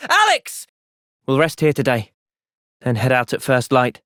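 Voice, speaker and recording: A man playing an earnest, thoughtful young soldier and party leader in a video game, sincere and resolute in a measured way. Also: medium pitch, huge pitch swings, a bit bright, no echo, studio quality. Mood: urgent, shouting. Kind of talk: video game cutscene acting.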